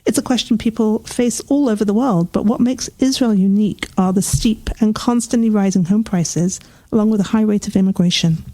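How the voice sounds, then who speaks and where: Host: woman, mid pitch, dark mellow timbre, steady interviewer. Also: fairly quick, few pauses, poor audio. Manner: warm-up reading then lively reactions. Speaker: podcast host. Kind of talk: scripted intro then interview chat.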